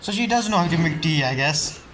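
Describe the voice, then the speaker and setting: Low-voiced woman casually commenting on a game. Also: animated, a little fast, a little hiss or hum. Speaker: gaming streamer reacting to story scenes. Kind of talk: casual live gameplay commentary. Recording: game music behind the voice.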